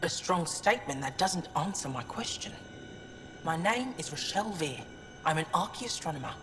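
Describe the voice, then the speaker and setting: An actress playing an earnest, resolute alien scholar in a video game, with a formal, deliberate delivery. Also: female voice, sits low, animated, noisy background. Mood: challenging. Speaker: archaeoastronomer. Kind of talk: video game dramatic acting. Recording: long reverb, clear music behind voice.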